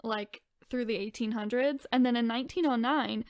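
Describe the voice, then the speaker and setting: A woman's voice: fairly high pitch, moderate pace, dry recording. A woman co-hosting a podcast in casual chat, informal and chatty, sometimes reading out descriptions with asides. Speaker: podcast co-host.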